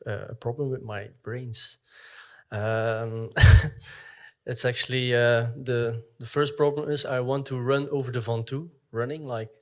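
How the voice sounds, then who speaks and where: A man from Belgium, a museum educator, introducing himself on a panel in a relaxed, self-mocking way with many fillers. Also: mid-range voice. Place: conference hall.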